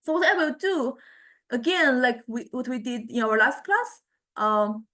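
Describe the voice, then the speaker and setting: A woman with a Chinese accent and a fairly high-pitched voice teaches an informal software class. She speaks haltingly, repeating words as she goes and often adding small checking tags. She talks into a small condenser microphone. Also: animated. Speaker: university lecturer in music technology. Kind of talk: informal software tutorial lecture.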